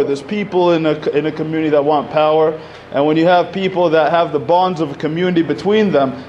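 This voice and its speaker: A man in his thirties from Egypt speaks into a podium mic in a community center hall. His voice sits a little high, and he talks loosely and informally, stacking "you know" and restarts. A faint noise runs under the recording. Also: a little mellow, dull audio. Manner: conversational, many fillers, gestures while speaking. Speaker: panel speaker. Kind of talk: public talk at a community event.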